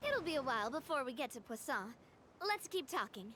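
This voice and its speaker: A woman's voice: high voice, big pitch swings, fairly quick, background noise. An actress playing a confident young heiress who leads her late father's organization, talking frankly and easily in a game cutscene. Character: confident young woman, heiress leading a family group. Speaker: leader of a family organization. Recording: game audio with faint music.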